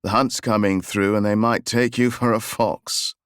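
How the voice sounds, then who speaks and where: A man voicing a tall, gruff gentleman hunter with an English accent. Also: fairly low pitch, steady tone, moderate pace, dry recording, studio quality, natural voice. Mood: gruff offhand. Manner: gruff, offhand.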